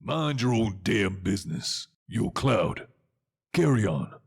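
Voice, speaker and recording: A hot-tempered rebel fighter from a video game, acted by a man. He is gruff and gravelly, blunt and irritable, with very animated pitch. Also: slow, exaggerated voice. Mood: irritated. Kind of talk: dramatic video game voice acting.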